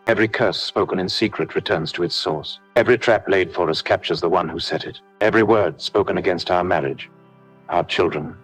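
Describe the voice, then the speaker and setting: Male prayer leader, low-pitched, slightly husky, solemn repeated declarations, soft music underneath. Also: rough recording. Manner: solemn, emphatic, repetitive declarations. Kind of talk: scripted spiritual warfare prayer, declarative. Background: faint background music.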